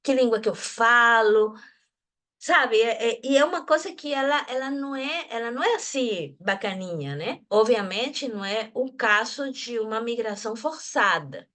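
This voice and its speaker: A woman's voice: a little high, animated, moderate pace, a bit bright. A woman from Venezuela speaking in an academic discussion group, thoughtful, often restarting and adding tag questions. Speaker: discussion participant.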